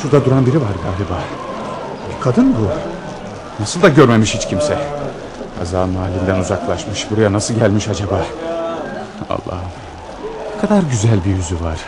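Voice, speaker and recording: An actor playing an anxious, soft-hearted station master in a theatrical Turkish radio drama style, moving between commanding orders and hushed musing. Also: male voice, lowish voice, expressive, normal speed, long pauses, a loud crowd, natural voice. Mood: musing, awed. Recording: noisy old radio drama recording.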